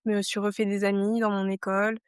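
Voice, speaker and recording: A woman's voice: mid-range voice, flat delivery, fast talker, clear, resonant tone, dry recording, studio quality. A woman in her twenties from France, a podcast guest talking things over casually and reflectively, with many fillers and restarts. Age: 20s.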